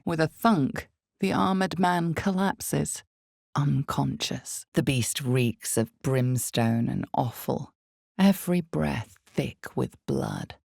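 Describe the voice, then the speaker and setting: Casting: ominous fantasy game storyteller, female, very low and husky, slow deliberate delivery. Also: darkish tone, dry recording, clean studio sound, full character voice. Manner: measured, deliberate, theatrical with weighted pauses. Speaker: narrator of a fantasy role-playing video game. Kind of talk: dramatic second-person scripted narration.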